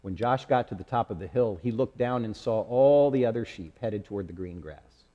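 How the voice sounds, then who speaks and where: Husky, mellow man reading in a reverberant hall. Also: on the low side, normal speed, lo-fi sound. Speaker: storyteller reading aloud. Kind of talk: slow dramatic children's storybook reading. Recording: echoey hall with long reverb.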